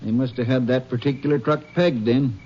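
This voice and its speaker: A man's voice: mid-range voice, steady tone, brisk, darkish tone, natural voice. A man voices an easygoing small-town sheriff in an old radio western, in a plain middle-range voice. His speech is folksy and casual. The recording is lo-fi and muffled, with faint hiss. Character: friendly adult male small-town Texas sheriff. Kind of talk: 1950s radio drama acting.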